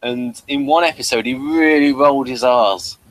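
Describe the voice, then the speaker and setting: A man hosting a casual commentary chat about a TV episode, repeating words haltingly as he thinks. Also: medium pitch, dry recording, poor audio. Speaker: podcast host.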